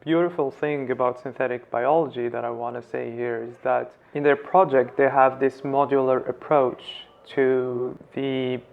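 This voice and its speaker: A man hosting a science vlog, calmly explaining research to viewers. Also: medium pitch, moderate pace, creaky, hardly any pauses, narrow, tinny audio. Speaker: vlog host.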